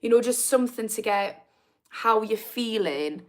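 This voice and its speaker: A woman's voice: on the high side, animated, a little slow. Chatty Newcastle woman on a livestream. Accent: Geordie (Newcastle). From Newcastle, England. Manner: chatty, informal, reads viewer comments aloud, laughs easily. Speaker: livestream host on addiction recovery. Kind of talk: casual live-stream chat reading comments.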